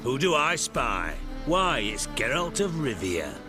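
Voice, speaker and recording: A man playing a proud, menacing crime boss in a video game, speaking in a formal, deliberate, threatening manner. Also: medium pitch, sing-song. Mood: probing, suspicious. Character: proud, menacing male crime boss owed his treasure. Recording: music behind the dialogue.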